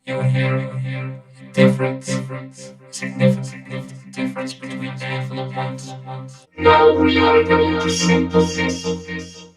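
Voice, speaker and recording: A man speaking through a synthesizer vocoder as a Transformer-like robot, deliberate and repeating his words. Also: mid-range voice, monotone, faint music behind. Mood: measured, mechanical. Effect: vocoder robot filter driven by synthesizer.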